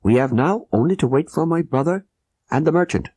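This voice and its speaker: Man acting a grave conspiring Sikh guard, urgent pitch. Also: animated, fairly quick, warm tone, breathy edge, no echo. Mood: hushed, tense. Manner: solemn, formal, urgent.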